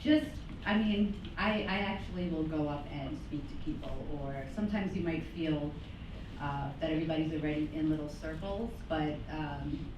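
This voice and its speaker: A low-voiced woman talks easily on a business panel, laughing often. She sounds far from the mic in a very echoey meeting room with clear background noise. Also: normal speed. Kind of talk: panel discussion.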